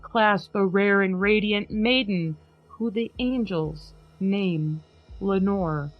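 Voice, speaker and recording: Woman host reading a poem real slow and dramatic, dull lo-fi call sound, faint music under it. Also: mid-range voice. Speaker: podcast host. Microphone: video call.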